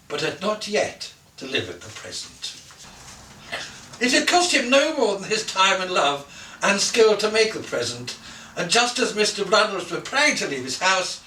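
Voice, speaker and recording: A man reading a children's Christmas story aloud in an expressive, theatrical way, savouring lists and softening the ends of his phrases. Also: high voice, slight background noise. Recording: roomy echo, distant from the mic.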